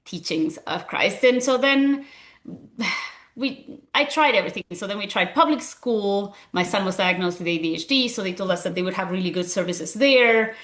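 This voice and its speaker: Woman, 40s, Argentine accent, interview guest, earnest and animated, mid pitch. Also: normal speed. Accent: Argentine Spanish. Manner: animated, earnest, frequent fillers. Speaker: guest, school founder and physician. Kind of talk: conversational interview.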